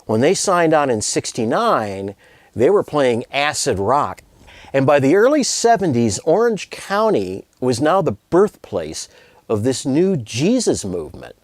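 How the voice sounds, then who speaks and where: A man's voice: medium pitch, dry recording. A man presenting a scripted promotional narration as the person behind a music archive project. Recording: music bed under voice.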